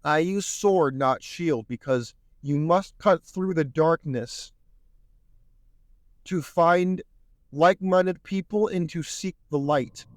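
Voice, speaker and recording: Guy hosting a podcast, slightly high voice, earnest and preachy, voice going a bit hoarse, dry close sound. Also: no room sound. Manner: earnest, preachy, repeats "my friends". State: losing his voice. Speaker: podcast host. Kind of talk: solo podcast monologue.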